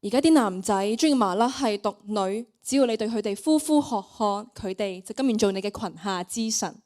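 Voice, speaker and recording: A woman's voice: medium pitch, normal speed, a little mellow, lightly acted. A teenage girl with a Hong Kong Cantonese accent voicing a devoted, deferential magic mirror that counsels its owner in a student radio play. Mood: flattering. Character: devoted magic mirror, flattering yet wise servant. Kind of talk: student radio drama acting.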